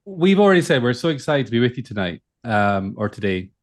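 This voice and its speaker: A man's voice: mid-range voice, sing-song, fairly quick. A man with a Scottish accent, hosting a podcast interview in a friendly, casual way. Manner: friendly, with fillers. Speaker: podcast host.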